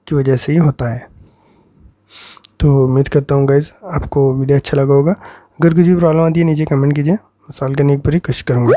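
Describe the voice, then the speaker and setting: A man's voice: on the high side, dark tone, no room sound, studio quality. A man with an Indian accent giving an informal tutorial voice-over as a tech host, repeating himself and often addressing his viewers directly.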